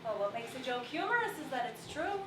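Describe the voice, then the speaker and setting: An actress playing a cheerful, witty young Victorian daughter in a stage play, playful and articulate. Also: female voice, sits high, sing-song, brisk. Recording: echoey stage, distant mic, faint noise.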